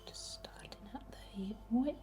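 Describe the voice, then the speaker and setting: A woman whispering breathily and gently as an ASMR host, chatting and guiding a healing ritual in an unhurried way. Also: fairly high pitch.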